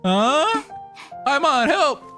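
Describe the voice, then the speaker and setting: A woman doing casual game reaction commentary and reading dialogue aloud, playful and expressive. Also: high-pitched, extremely slow. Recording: game music behind the voice.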